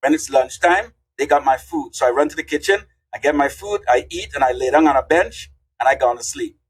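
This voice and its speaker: Husky man telling stories. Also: a little high, brisk, rough recording. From Guyana. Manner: animated, folksy storyteller. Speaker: guest. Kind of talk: casual interview storytelling.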